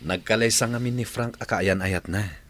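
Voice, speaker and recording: Actor as a low-voiced, consoling young man. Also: moderate pace, a bit bright, a little hiss or hum, lightly acted. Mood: respectful. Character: gentle young village man consoling a heartbroken woman. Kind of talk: radio drama acting.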